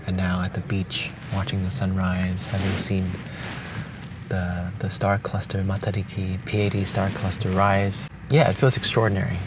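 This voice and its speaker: A young man from Hong Kong with Cantonese as his native language, speaking slowly and thoughtfully with pauses and restarts, recorded outdoors on a beach at dawn. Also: on the low side. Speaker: vlogger. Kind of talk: reflective spoken letter. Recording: distant outdoor mic with noise.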